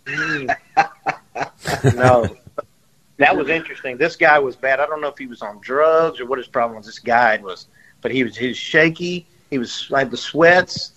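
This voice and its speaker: Guy on a radio show, bright forceful voice, rambling stories, bit muffled. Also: medium pitch, a little slow. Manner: animated storyteller, repeats himself. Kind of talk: storytelling in casual chat.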